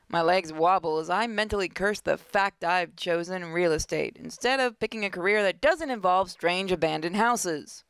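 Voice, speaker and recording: A woman hosting a gaming video, reading a visual novel aloud dramatically with playful, expressive asides. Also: fairly low pitch, gravelly, clear, resonant tone, no room sound.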